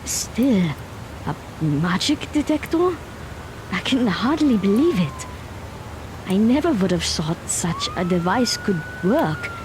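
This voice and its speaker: A woman's voice: medium pitch, huge pitch swings, takes it slowly, background noise. A woman playing a skeptical, judgmental teenage girl in an audio drama. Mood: skeptical, disapproving.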